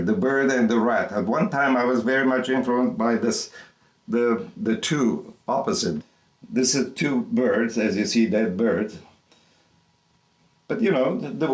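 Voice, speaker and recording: Slow, halting older man, roomy sound. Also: medium pitch. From Egypt. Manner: halting, repeats words, thinking aloud. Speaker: artist. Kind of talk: reflective documentary interview. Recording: roomy, mic at a distance.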